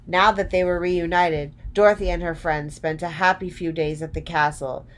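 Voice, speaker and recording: A woman's voice: fairly low pitch, steady tone, normal speed. A woman storyteller narrating a children's storybook expressively.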